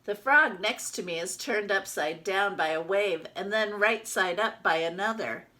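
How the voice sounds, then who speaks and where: A woman reading a children's picture book as a small, timid yellow toy duck, with expressive, dramatic narration. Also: medium pitch, measured pace. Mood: wistful storytelling.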